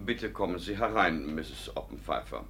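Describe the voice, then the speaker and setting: A formal household manservant in a 1950s radio drama. His deep voice is measured and stiffly deferential. A slight noise sits under the old recording. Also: animated, pushed and bright. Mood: stiff, reserved. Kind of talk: 1950s German radio play acting.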